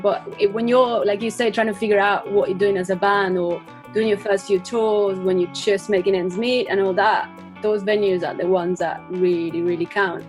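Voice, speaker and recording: Woman musician chatting, faint music behind. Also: medium pitch. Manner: rambling, thinking aloud. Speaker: musician being interviewed. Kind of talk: casual interview chat.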